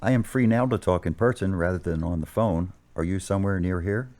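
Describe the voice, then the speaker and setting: A man as a deep-voiced, patient parish priest. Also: a little mellow, close to an everyday voice. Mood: courteous. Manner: measured, counselling, formally worded. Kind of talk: amateur audio drama acting.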